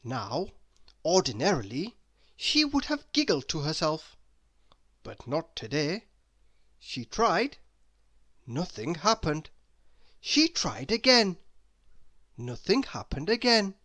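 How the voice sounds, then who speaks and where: A woman reading a children's story aloud, expressive and deliberate, with dramatic emphasis. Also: sits low. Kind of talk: children's read-aloud narration.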